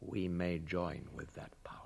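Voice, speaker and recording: An actor playing a proud, scheming old wizard in a radio drama, with grandiose and scornful theatrical delivery. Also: male voice, low voice, steady tone, moderate pace, gravelly, a little hiss or hum. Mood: persuasive, scheming. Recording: reverberant chamber radio drama sound.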